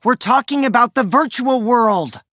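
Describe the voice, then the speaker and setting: A man's voice: very high voice, unhurried, sharp tone, exaggerated voice. An actor playing a mischievous teenage boy in an anime game dub, a gleeful liar who teases and taunts theatrically, shifting between singsong mockery and sly menace. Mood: sly, confiding.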